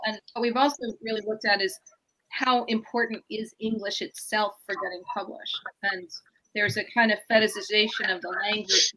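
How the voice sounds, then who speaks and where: Bright-voiced woman academic explaining. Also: mid-range voice, slight background noise, rough recording. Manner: fluent, explanatory, thinks aloud. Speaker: author being interviewed. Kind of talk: academic interview answer. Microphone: video call.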